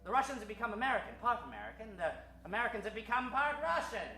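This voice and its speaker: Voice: mid-range voice, lively intonation, very fast, creaky, bright, forceful tone, roomy sound, faint music behind. A performer playing a flustered, gloating alien villain master in improvised radio comedy, hammy, stammering and insistent. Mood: scheming. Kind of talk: improvised old-time radio comedy acting.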